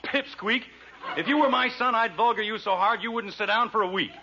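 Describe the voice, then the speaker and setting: A bright, high-pitched American dad from vintage radio comedy, blustery and combative with a soft heart underneath. His delivery is emphatic and sarcastic, on a muffled old recording. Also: a little fast, slight background noise. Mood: indignant, sarcastic. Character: blustering, hot-tempered but soft-hearted American family father. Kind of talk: 1940s radio comedy acting.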